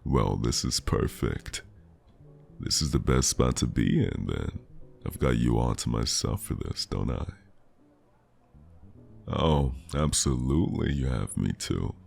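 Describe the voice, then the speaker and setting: A man acting as a flirtatious male stranger charming someone in an ASMR romance roleplay. His voice is extremely low and heavily fried, drawn out slowly and teasingly. He sounds smooth-talking and intimate. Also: expressive, a little mellow, soft background music, full character voice. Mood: tender, eager. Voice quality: deep, gravelly.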